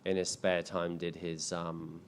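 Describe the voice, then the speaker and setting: A man's voice: sits low, little pitch movement, measured pace, slight background noise. A man in his thirties, a filmmaker answering audience questions in a casual, rambling way, full of ums and restarts. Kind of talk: casual panel Q&A. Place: indoor screening event.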